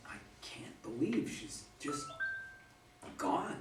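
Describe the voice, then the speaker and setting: A man acting a grieving, bitter widower and recovering drinker in a staged play reading, halting and terse. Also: on the high side, big pitch swings, over music. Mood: grief-stricken. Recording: roomy, distant mic, faint noise.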